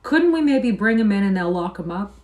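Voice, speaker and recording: A woman's voice: mid-range voice, very animated, a little fast, darkish tone, close to an everyday voice. A woman reading aloud and voicing a weary, loyal male ranch worker in plain rural speech.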